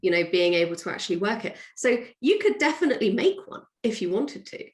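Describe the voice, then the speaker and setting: A woman chatting casually as a writer guest in an interview, telling things in an animated, chatty way with quoted asides. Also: mid-range voice, fairly quick. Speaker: guest, audio drama writer.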